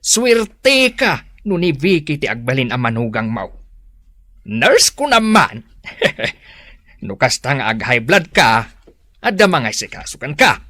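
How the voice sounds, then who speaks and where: A man acts out a stubborn older father in a radio play, with a lively, bright voice that rises and falls widely. He talks slowly, and the recording is dry with faint music behind him. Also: medium pitch, no echo, full character voice. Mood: scolding. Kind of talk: radio drama acting.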